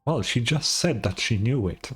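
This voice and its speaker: A streamer giving casual game commentary, rambling and thinking out loud. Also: mid-range voice, expressive, unhurried, husky. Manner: rambling, musing aloud. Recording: game music behind the commentary.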